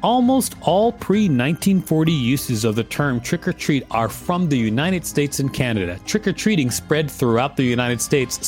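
A man's voice: medium pitch, darkish tone. Man co-hosting a read-aloud podcast, faint music. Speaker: podcast co-host. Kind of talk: reading an encyclopedia article aloud with commentary.

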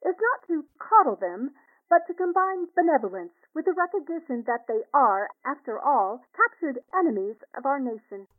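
A woman's voice: high-pitched, very animated, moderate pace. An actress playing an earnest newspaperwoman dictating a column, heard as dictaphone playback in a 1940s radio drama. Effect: dictaphone playback filter. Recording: tinny phone-like dictaphone playback.

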